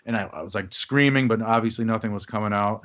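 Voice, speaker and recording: A man with a lowish voice hosts a podcast, rambling through a personal account with plenty of fillers. The recording sounds dull and lo-fi. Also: quick pace, a bit bright. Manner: rambling, with fillers and back-channel murmurs. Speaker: podcast host. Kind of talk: casual conversation.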